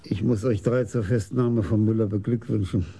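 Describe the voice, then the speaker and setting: A male actor playing a reserved senior police commissioner in a radio crime drama, asking terse, measured questions. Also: lowish voice, steady tone, dark tone, breathy edge. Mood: quietly sceptical.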